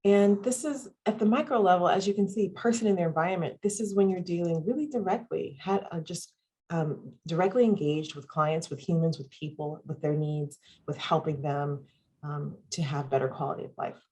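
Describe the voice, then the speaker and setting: A woman's voice: lowish voice, moderate pace, dark tone, husky. A woman presenting and moderating an online panel on a video call, upbeat and listing items with frequent ums. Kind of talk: webinar presentation.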